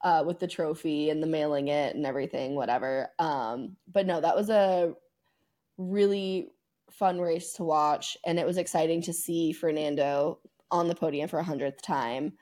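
A woman co-hosting a podcast, chatting casually about sports in an informal, slangy, excitable way. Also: deep voice, normal speed. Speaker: podcast co-host.

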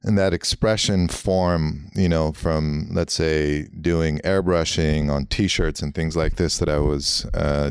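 A man's voice: a bass voice, clean tone, dry recording, clean studio sound. A man from Los Angeles whose first language is Armenian, a guest artist and architect musing in a meandering way with many fillers. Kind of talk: reflective interview talk.

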